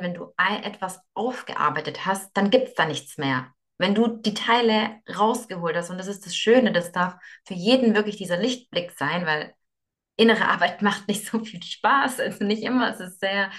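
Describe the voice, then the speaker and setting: A woman's voice: medium pitch, fairly bright tone. A young woman from Russia, a guest in a podcast interview, explaining her ideas earnestly, often restarting and repeating words. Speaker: yoga teacher and guest.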